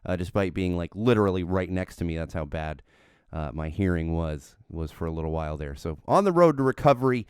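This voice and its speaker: A man's voice: low-pitched, lively intonation, fairly quick, clean tone, no room sound, clean studio sound. A married man hosting his own solo podcast, talking casually and rambling a bit, sounding slightly nasal from an ear infection. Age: adult, married father. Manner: rambling, self-correcting, candid. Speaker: podcast host. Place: home studio. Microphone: studio microphone with headphones.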